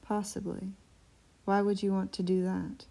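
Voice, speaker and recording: A woman reading aloud, voicing a calm, dry-witted female dragon from a storybook with a measured way of speaking. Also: mid-range voice, creaky.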